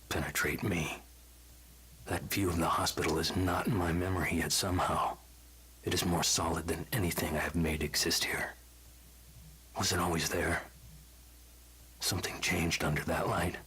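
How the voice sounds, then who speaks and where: Very deep, husky mad scientist villain. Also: male voice, fairly even intonation, exaggerated voice, low-quality recording. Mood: brooding, tormented. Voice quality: hushed, husky, breathy. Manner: slow, deliberate, clinical, brooding menace. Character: cold, tormented, vengeful male mad scientist villain. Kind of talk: scripted video game audio log monologue.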